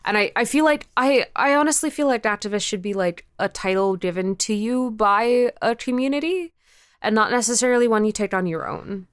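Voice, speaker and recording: Clear-voiced woman, candid and informal, dry recording. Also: a little high, a little fast, no echo. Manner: candid, informal, swears freely. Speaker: podcast guest, audio drama creator. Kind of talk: casual interview chat.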